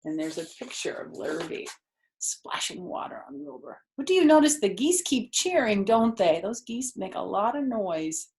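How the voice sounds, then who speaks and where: A woman's voice: medium pitch, big pitch swings, normal speed, a little mellow. A woman reading a children's story aloud expressively, with conversational asides to her listeners. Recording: roomy echo.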